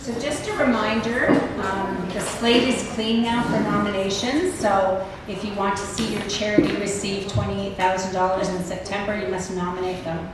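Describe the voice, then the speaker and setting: A woman's voice: mid-range voice, fairly even intonation, normal speed, hardly any pauses. Woman organizer making announcements, far off, echoey, noisy. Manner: announcing reminders to the group. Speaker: organizer of the giving circle. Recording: distant and echoey with loud background noise.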